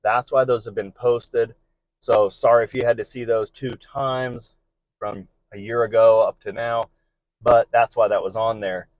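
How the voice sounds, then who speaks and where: A man's voice: medium pitch, level tone, unhurried, rough recording. A man speaking informally and earnestly, offering encouraging advice to fellow delivery drivers in a monologue.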